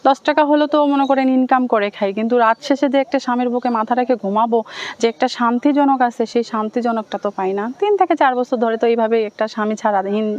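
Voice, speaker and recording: A woman's voice: a little high, fast talker, darkish tone. A young woman with a Bangladeshi accent giving a plain personal account in an interview, pleading and repeating herself. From Thakurgaon, Bangladesh. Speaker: interview guest telling her life story. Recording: dull lo-fi sound.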